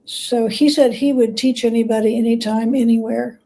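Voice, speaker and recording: High-voiced, mellow woman guest. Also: steady tone, moderate pace, poor audio. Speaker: guest, biographer. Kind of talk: conversational interview storytelling.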